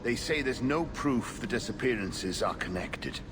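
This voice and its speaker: A man playing a weary, formal older warrior in a fantasy video game, speaking in a measured way. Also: mid-range voice, lively intonation, background noise, lightly acted. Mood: frustrated. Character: weary, disheartened aging warrior investigating disappearances. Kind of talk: video game dialogue acting.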